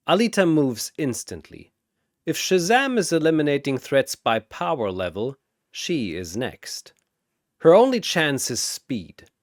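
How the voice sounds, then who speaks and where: Slow, dramatic man narrating battles. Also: mid-range voice, no room sound, studio quality.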